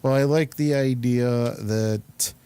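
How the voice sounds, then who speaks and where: Clear-voiced man hosting a casual chat. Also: mid-range voice, slow, mellow. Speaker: show host. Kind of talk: casual round-table chat about films and TV.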